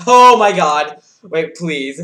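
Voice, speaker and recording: A man streaming a game live, reacting excitably with yelps and shouts, keyboard clicking behind him. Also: fairly high pitch, takes it slowly, echoey room, background noise. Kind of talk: live gameplay commentary. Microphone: desk mic with audible keyboard.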